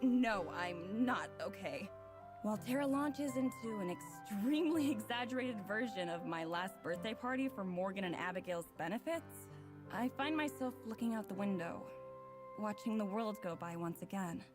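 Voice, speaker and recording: A woman's voice: mid-range voice, animated, moderate pace, natural voice. An actress playing a reflective, wry young woman who narrates her own story in a visual novel. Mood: exasperated. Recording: music playing clearly underneath.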